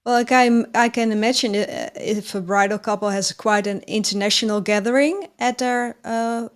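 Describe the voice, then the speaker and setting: A woman's voice: on the high side, fairly even intonation, normal speed, clear, resonant tone. A woman hosting an interview, asking friendly, encouraging questions. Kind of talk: interview host.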